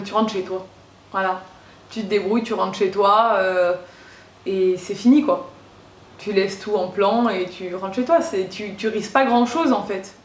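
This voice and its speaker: Young woman vlogger, casual storytime, lots of fillers, echoey room. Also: mid-range voice. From Corsica. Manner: chatty, lots of fillers and slang. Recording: roomy echo.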